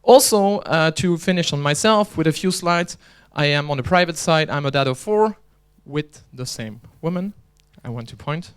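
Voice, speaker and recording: Clear-voiced man giving a joking conference talk. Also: fairly high pitch, lively intonation, normal speed, hardly any pauses. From Belgium. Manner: chatty, joking, restarts often. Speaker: conference speaker and organizer. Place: conference hall.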